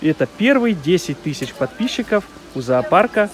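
A man's voice: a little high, very animated, moderate pace. A man hosting an on-location interview for a channel, speaking outdoors from behind the camera. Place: outdoors at a park, street-side.

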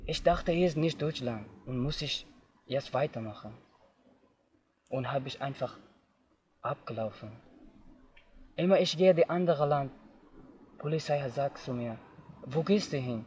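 A young man from Afghanistan, telling his own story outdoors in simple, halting learner's German. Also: medium pitch, a little mellow, a little hiss or hum. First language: not German. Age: young adult. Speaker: interviewee. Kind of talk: personal testimony. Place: outdoors in tall grass.

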